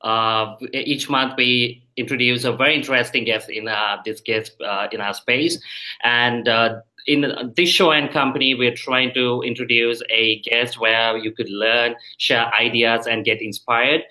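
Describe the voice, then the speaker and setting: A man's voice: medium pitch, fairly even intonation, measured pace, sharp tone, low-quality recording. A man hosting a live online question-and-answer show, talking hesitantly with many fillers. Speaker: show host.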